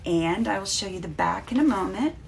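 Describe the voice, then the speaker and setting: A woman's voice: mid-range voice, expressive, a little fast, gravelly, a little hiss or hum. A woman reading a children's storybook aloud as a teacher, carefully explaining as she goes.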